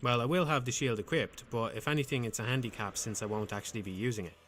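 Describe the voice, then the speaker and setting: Calm, soothing man narrating game commentary. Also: medium pitch, a little hiss or hum. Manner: calm, measured, dryly wry. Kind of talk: scripted voice-over commentary.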